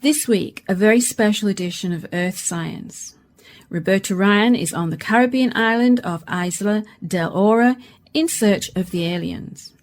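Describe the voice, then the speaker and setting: An actress playing a serious radio announcer in a science fiction radio play, reading formally. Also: female voice, medium pitch, unhurried, natural voice. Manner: formal, orderly bulletin delivery. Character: serious female radio news announcer reporting alien crisis. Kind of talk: radio play acting as scripted news reading.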